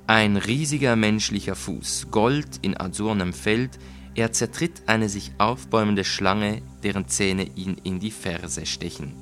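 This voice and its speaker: Scheming, polite nobleman, voiced by a male reader: low, measured, insistent, literary audio story over music. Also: fairly bright tone. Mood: formal. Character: cunning avenging nobleman feigning polite concern.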